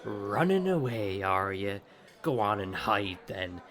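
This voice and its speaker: An actor playing a boastful, bullying young pirate captain in an audio drama, swaggering and taunting. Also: male voice, fairly low pitch, big pitch swings, takes it slowly, fairly bright tone, exaggerated voice. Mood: scornful, bullying. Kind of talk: theatrical audio drama dialogue.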